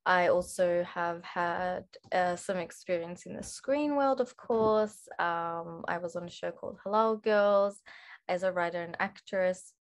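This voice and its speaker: Woman in her 20s on a video call, kinda low and flat, lots of ums. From Australia. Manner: unhurried, many fillers and pauses. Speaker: panel speaker, writer and actress. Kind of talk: online panel introduction. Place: room at home.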